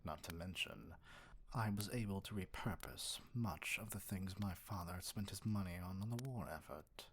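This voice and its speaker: A man playing an arrogant, dominant evil sorcerer king in an ASMR roleplay, speaking in a measured, condescending, breathy near-whisper. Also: low-pitched, a little hiss or hum. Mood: brooding, reflective.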